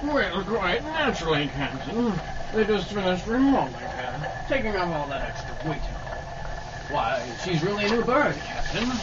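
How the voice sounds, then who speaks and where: A man's voice: high-pitched, sing-song, moderate pace. A man playing a cheerful, formal announcer type in an old-time radio drama. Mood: breezy. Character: breezy announcer and deferential airship crewman. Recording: muffled mic, clear background noise.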